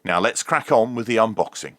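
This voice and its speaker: A man's voice: fairly low pitch, a little fast, a bit bright. A British man presenting an unboxing review as host, with a jokey, tongue-in-cheek manner. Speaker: host of a radio review channel.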